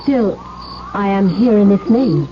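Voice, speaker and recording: Casting: woman playing poised young romantic heroine for radio drama, mid-pitched, unhurried and formal. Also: expressive, lightly acted. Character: bold young lady, self-possessed romantic doctor's daughter. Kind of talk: 1970s radio drama acting. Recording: muffled, noisy 1970s radio broadcast.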